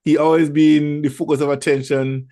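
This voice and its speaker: A man's voice: a little high, level tone, normal speed, warm tone, dry recording, studio quality. A man hosting a casual radio talk show, rambling with many fillers and restarts. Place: lives in Toronto.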